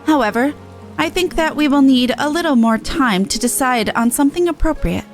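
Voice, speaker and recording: A woman's voice: high voice, expressive, a little fast, faint music behind. A woman voicing a gracious, formal official from a fantasy game, reading the dialogue expressively. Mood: formal, businesslike. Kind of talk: amateur game dialogue read-aloud.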